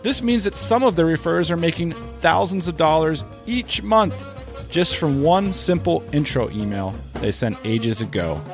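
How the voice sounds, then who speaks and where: Higher-pitched male podcast host, light music behind. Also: expressive, moderate pace. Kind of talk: scripted podcast interview questions and sponsor read.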